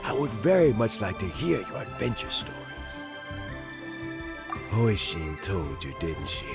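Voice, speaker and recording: A man acting an aging, courteous statesman with failing energy in a video game, speaking in a measured, formal way. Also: on the low side, very animated, gravelly, over music, heavily acted. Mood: gentle, weary. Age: older. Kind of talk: scripted video game dialogue.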